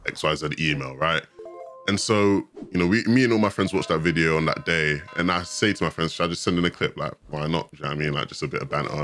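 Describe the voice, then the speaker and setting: A young man in his twenties with a very low voice talks quickly and eagerly, telling his story with excitable energy. The recording is dry and close, with no room sound. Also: no room sound. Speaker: podcast guest. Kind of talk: casual storytelling chat.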